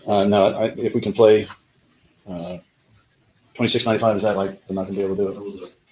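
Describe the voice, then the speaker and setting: A man speaking as a lawyer in a courtroom, making a halting, direct closing argument to a jury. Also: on the low side, flat delivery, darkish tone, slight background noise, rough recording.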